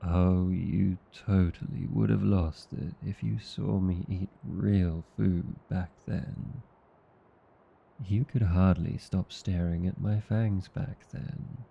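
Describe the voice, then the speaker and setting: A man with an Australian accent playing an affectionate, drowsy vampire husband in an intimate ASMR roleplay, murmuring softly and tenderly. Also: highs cut off, full character voice. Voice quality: low, gravelly, husky. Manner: languid, soft, tender murmuring.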